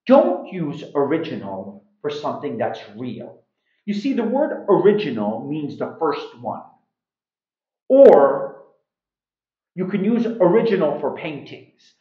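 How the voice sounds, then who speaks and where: A middle-aged man teaching English informally to camera in an animated, emphatic manner, recorded indoors at home. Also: on the high side, slow pace. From Iran. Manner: animated, emphatic, with acted-out examples. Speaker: English teacher, video host. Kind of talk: informal slang lesson to camera. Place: small room at home, indoors. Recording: roomy, slightly dull sound.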